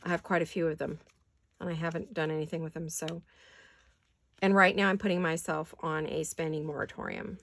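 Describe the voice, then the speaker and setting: Raspy-voiced woman chatting while crafting. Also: sits low, level tone, fairly quick, a bit bright, a little hiss or hum. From California. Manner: chatty, talks to herself while crafting, drops into whispers. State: raspy voice from allergies. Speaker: craft vlogger. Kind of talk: casual craft chat while working. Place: home craft studio.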